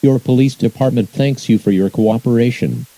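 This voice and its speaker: A man's voice: mid-range voice, normal speed, noisy background. A man reading scripted emergency alerts as an announcer, in a formal, impersonal, synthetic-sounding delivery.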